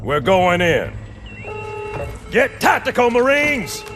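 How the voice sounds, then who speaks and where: An American man playing a gruff, fearless middle-aged Marine sergeant in a video game, gravelly and barking with theatrical drill-sergeant bravado. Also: very high voice, sing-song, slow, music in the background, full character voice. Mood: brisk command. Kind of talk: video game cinematic acting.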